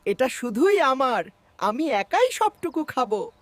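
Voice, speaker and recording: A woman's voice: high voice, very animated, slow pace, slight background noise, exaggerated voice. A woman voicing a hungry, childish young animal in a children's cartoon. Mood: greedy.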